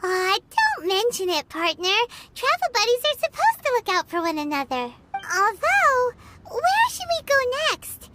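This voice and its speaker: A tiny fairy girl companion from a video game, with an extremely high, squeaky voice that swoops around in lively arcs. She is cheerful, loyal and childlike, chattering away over loud noise from a game stream. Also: a little slow, heavily acted. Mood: encouraging. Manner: childlike, speaks of herself in third person. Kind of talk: video game character dialogue. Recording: noisy game stream capture.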